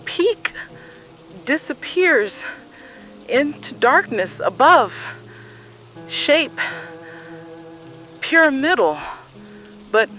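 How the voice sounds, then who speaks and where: A woman playing a deep-sea explorer reporting by radio in an apocalypse drama, speaking in halting, broken phrases with desperate awe. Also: bright, forceful tone, heavily acted.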